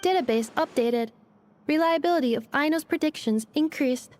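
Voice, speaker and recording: Woman as a high-voiced, precise robot girl. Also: normal speed, a little mellow, soft background music, close to an everyday voice. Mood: analytical. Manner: formal, matter-of-fact. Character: polite, precise, logical female robot. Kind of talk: video game voice acting.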